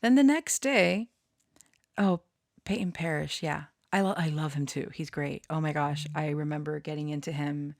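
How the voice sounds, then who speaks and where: A woman's voice: deep voice, moderate pace, creaky, dry recording, studio quality. A woman rambling casually to her online followers, giggly and prone to swearing. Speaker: online storyteller and channeler.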